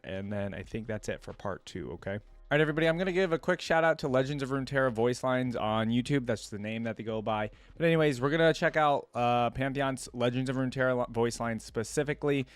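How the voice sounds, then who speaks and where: A man hosting a reaction video, reading aloud and adding informal asides as he thinks out loud. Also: medium pitch, fast talker, clear, resonant tone, dry recording. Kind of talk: casual commentary, reading wiki text aloud with asides.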